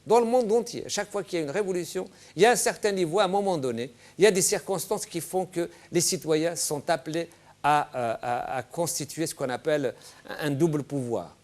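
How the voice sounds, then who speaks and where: Guy on a political panel, high voice, really animated, keeps repeating himself to hammer the point. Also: fairly quick. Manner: emphatic, repeats phrases insistently. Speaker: panel guest on a political TV debate. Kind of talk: impassioned political discussion.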